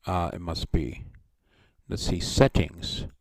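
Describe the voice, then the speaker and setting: A man with a very deep voice talks loosely over a desk microphone on a video call, rambling and restarting his sentences. He has a loud voice and laughs often. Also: fairly even intonation, slow pace. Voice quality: loud voice, by his own account. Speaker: show guest or host talking about his design work. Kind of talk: casual video call chat. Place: home room in Japan.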